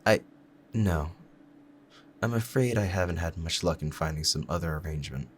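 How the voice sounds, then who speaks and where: A man playing a calm, proud assassin in love, both menacing and tender, talking to the listener in a measured, formal, confiding way in an audio roleplay. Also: low-pitched, a little hiss or hum, close to an everyday voice. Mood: tender, regretful. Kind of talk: scripted romantic ASMR audio roleplay.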